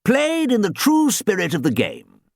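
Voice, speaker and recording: A man's voice: high-pitched, huge pitch swings, a little slow, creaky, no room sound, studio quality, voice pushed up, heavily acted. A man voicing a fussy, proud old ghost who coaches a children's football team, speaking in a formal, old-fashioned way. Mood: pleased.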